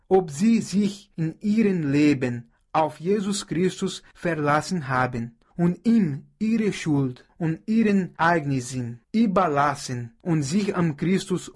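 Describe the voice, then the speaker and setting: Husky Brazilian pastor preaching slowly in German. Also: male voice, fairly high pitch. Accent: Brazilian Portuguese. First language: Portuguese. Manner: slow, measured preaching with prayer. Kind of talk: radio devotional sermon.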